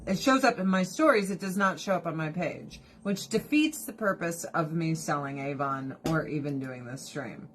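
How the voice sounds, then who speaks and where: A woman live streaming to her viewers in casual chat, blunt and profane, animated and laughing often, close to her phone camera. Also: sits low, normal speed, few pauses, a little hiss or hum. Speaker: direct sales rep live streaming. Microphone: phone or camera held close.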